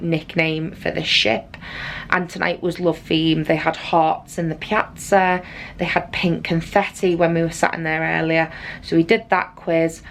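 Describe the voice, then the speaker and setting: Hoarse, low-voiced woman vlogging. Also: a little hiss or hum. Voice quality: raspy. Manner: chatty and rambling, with frequent fillers. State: hoarse, losing her voice. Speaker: travel vlogger. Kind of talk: casual vlog recap. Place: cabin on a cruise ship. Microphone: handheld compact camera.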